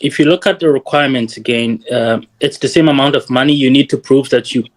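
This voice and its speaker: A man in his thirties, a guest in a casual interview. He talks in a measured, thoughtful way and often pauses with "um". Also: mid-range voice. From Ghana. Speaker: guest, doctoral student.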